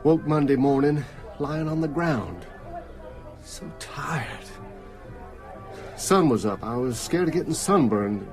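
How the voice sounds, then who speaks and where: An actor playing a sick, frightened small-town working man in a radio drama, speaking in a weary, halting, dazed way. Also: mid-range voice, expressive, faint music behind. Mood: dazed, dreamy.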